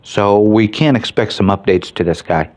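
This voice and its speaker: A man's voice: lowish voice, normal speed, darkish tone. A man hosting a casual, unscripted game mod review, informal and thinking aloud as he talks.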